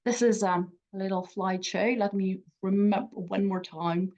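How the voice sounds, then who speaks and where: A Ukrainian-accented woman in her forties speaks in a measured, reflective way, pausing and restarting her thoughts. Her voice sits at an ordinary pitch, and it comes through a muffled, lo-fi video call. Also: fairly even intonation. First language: Russian. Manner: reflective, halting with restarts and soft laughs. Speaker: museum speaker. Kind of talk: conference talk.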